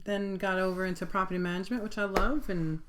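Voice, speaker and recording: A woman's voice: mid-range voice, fairly even intonation, fast talker, noisy background. A middle-aged woman with a New York accent, chatting casually as co-host of a live Q&A stream. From Long Island, New York. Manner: chatty, warm laughs, filler ums.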